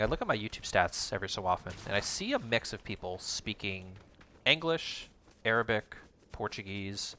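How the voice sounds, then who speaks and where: Male game streamer, calm teacher-like explainer, gravelly voice with a noisy bed behind it. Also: lowish voice. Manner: calm, explanatory, educator-like delivery; chats with viewers while narrating play. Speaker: streamer playing a battle royale game. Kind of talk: casual livestream chat while gaming.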